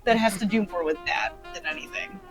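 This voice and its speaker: A woman's voice: fairly high pitch, big pitch swings, fairly quick, faint music behind, rough recording. A young woman chatting in a casual, informal way. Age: young adult. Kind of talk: casual chat.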